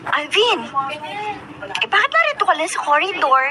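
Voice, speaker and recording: A woman's voice: very high voice, very animated, normal speed, loud music behind the voice. An actress playing a chatty, teasing teenage girl, a classmate and cousin, in a radio drama. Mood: curious. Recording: noisy radio broadcast recording.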